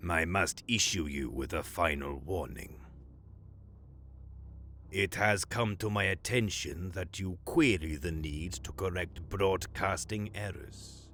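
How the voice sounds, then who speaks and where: An actor playing a stern, condescending bureaucratic superior in an audio drama, speaking formally and with pompous precision. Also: male voice, deep voice, sharp tone, slight background noise, full character voice. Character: stern, condescending male broadcast official, cold bureaucratic superior.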